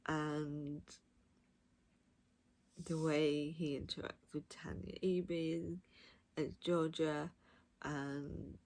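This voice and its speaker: A woman giving a casual, unscripted review of audiobooks, gushing and emphatic, speaking in short, halting phrases. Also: sits low, little pitch movement, gravelly, darkish tone.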